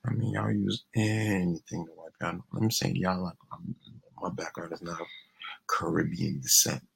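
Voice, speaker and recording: A young man co-hosting a podcast in a slangy, joking, easygoing way. His voice is very low, heavily gravelly, and very raspy. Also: steady tone, normal speed, a bit bright, poor audio. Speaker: podcast co-host. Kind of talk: casual chat.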